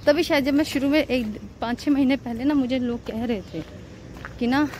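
A woman's voice: sits high, moderate pace, darkish tone. A young woman with an Indian accent, chatting casually to camera in a vlog as a startup founder, mixing Hindi and English. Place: outdoor garden cafe. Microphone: phone camera held by hand. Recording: outdoor phone audio with background noise.

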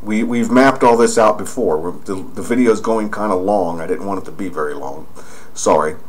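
A man's voice: on the low side, moderate pace. A man narrating over screen images, rambling and pointing out details as he goes. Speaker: video narrator.

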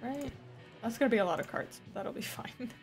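A woman livestreaming a game, chatting casually, laughing often and swearing freely, her voice a little hoarse. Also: on the high side, lively intonation, a little fast, soft background music. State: slightly hoarse. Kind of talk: casual gameplay chat. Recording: noisy home stream recording.

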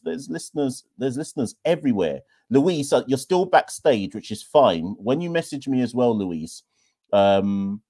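A man's voice: on the low side, warm tone. A man hosting a live call-in podcast from a small room, talking enthusiastically into a webcam. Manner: enthusiastic, emphatic. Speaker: podcast host. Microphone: webcam at desk.

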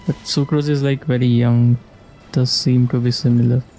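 A man's voice: medium pitch, flat delivery, moderate pace, warm tone, slight background noise, poor audio. A man giving casual playthrough commentary, musing aloud in short reactive remarks as he plays. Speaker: gamer playing and reacting. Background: video game music.

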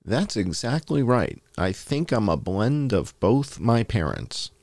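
A man's voice: medium pitch, very animated, slow pace, darkish tone, dry recording. A man hosting a show, reading a published article aloud as narration.

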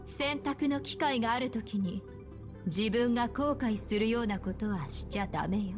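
A woman voicing a calm, enigmatic, seductive woman in an anime game, speaking slowly and soothingly with gentle command. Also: fairly high pitch, close to an everyday voice. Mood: soft, parting. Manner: slow, deliberate, soothing. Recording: background music.

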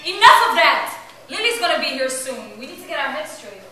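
A woman's voice: sits high, big pitch swings, brisk, pushed and bright, faint music behind, full character voice. A woman playing an anxious, bossy, agitated pixie in a comic stage play. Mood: agitated, snappish. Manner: agitated, snappish. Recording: echoey stage recording from far off.

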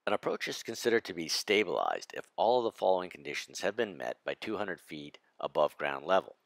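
Man, very deep voice, flight instructor, measured narration, dry studio sound. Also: very animated, creaky, fairly bright tone, no room sound. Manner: measured, precise instructional delivery. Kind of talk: scripted narration over slides.

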